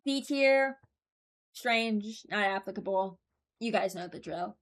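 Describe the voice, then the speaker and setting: Woman doing a chill ranking video, average pitch, opinionated, kinda thinks out loud as she goes. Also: slow pace. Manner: opinionated, informal, thinking aloud. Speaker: video host ranking game voice lines. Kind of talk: casual reaction commentary.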